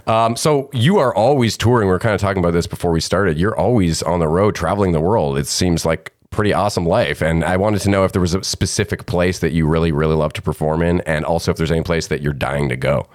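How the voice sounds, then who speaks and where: A man hosting an interview, asking quick, friendly questions in a casual way. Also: low voice, no room sound, studio quality. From Canada. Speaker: podcast host and comedian.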